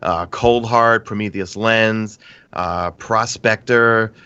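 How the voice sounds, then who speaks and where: A man from New York with a lowish voice, stuffed up and nasal from allergies. He chats excitably as he co-hosts. The sound is slightly dull and muffled. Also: takes it slowly, clean tone. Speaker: podcast co-host, streamer. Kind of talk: casual podcast chat.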